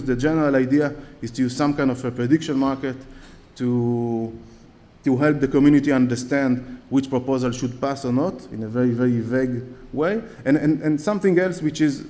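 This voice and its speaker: A man's voice: medium pitch, dark tone. Israeli guy giving a conference talk, lots of ums and restarts, echoey hall. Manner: hesitant, many fillers and restarts. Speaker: conference speaker. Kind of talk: conference talk with audience questions. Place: large conference hall on stage. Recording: long hall reverb.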